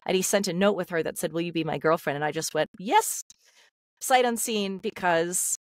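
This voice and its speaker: A woman's voice: on the low side, normal speed, a little hiss or hum. A middle-aged woman, a voice actor, telling her life story candidly on a video call, with many fillers and restarts. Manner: open, confessional, lots of fillers and restarts. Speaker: guest, voice actor. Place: home room, video call. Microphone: studio mic on stand.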